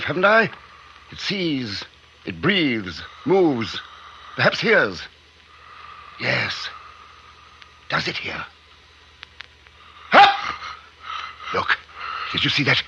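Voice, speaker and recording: Obsessed, defiant scientist, bright forceful tone, insistent delivery, noisy vintage radio drama. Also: male voice, fairly high pitch, exaggerated voice. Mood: excited, fervent. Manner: clipped, intense, feverishly insistent. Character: obsessed, defiant male scientist bringing a creature to life. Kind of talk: 1950s radio drama acting. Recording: muffled, noisy 1950s radio recording.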